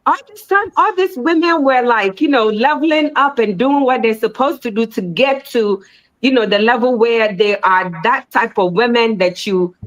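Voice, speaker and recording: A woman speaking casually on a discussion panel, animated and repetitive, her pitch rising sharply when she makes a point. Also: low-quality recording.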